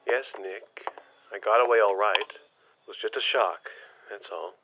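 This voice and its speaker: Actor as low-voiced, shaken, stubborn husband. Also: male voice, highs cut off, music in the background, close to an everyday voice. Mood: reassuring, dismissive. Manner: halting, breathy sighs, insistent. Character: shaken but stubbornly dismissive husband, alien invasion survivor. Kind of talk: modern audio drama acting.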